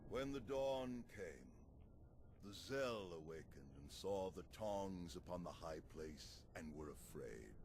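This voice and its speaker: A very deep-voiced space commander, grave and stirring, with big swings of intonation. He orates dramatically like a wartime leader in a game, with noise in the audio. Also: vocal fry, exaggerated voice. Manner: oratorical, dramatic. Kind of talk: video game voice acting. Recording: noisy game audio capture.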